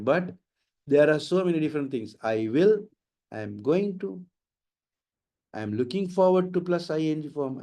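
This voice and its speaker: Sri lankan guy, middle aged, explaining exam stuff in a webinar, switches into sinhala now and then, mellow tone. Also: mid-range voice. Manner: explanatory teacher, mixes in Sinhala phrases. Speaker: IELTS trainer. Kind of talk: webinar lecture.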